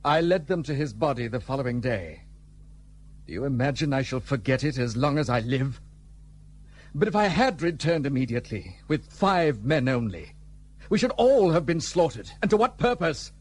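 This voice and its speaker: An actor playing an anguished, defensive British army lieutenant in a period radio drama, formal and emphatic, on a noisy recording. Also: male voice, medium pitch, sing-song, normal speed, lightly acted. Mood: defensive, grave.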